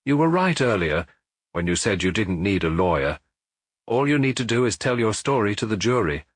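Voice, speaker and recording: Man as low, husky, weary police detective. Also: big pitch swings, moderate pace, no echo, studio quality. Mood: firm, gentle. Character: weary older police detective, calm, firm, compassionate.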